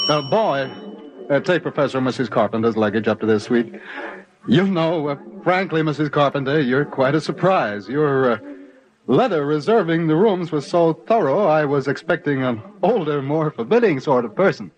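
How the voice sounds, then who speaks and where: An actor playing a deferential, chatty hotel clerk with a halting, polite manner in an old-time radio drama. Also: male voice, on the high side, animated, natural voice, rough recording. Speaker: supporting actor.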